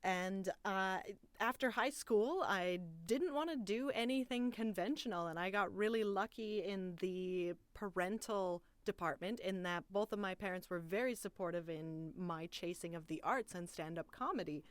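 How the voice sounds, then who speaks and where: A woman's voice: mid-range voice, normal speed, hardly any pauses, smooth, no echo. A young woman from Canada, a voice actor, telling her life story in a chatty, upbeat monologue. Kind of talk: personal bio monologue. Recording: dry, clean studio audio.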